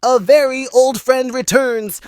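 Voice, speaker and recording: High-pitched theatrical puppet host, voiced by a woman. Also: steady tone, a little slow, darkish tone, slight background noise. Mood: showy, upbeat. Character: Benny, chatty puppet host, playful and theatrical. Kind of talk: scripted puppet show presenting.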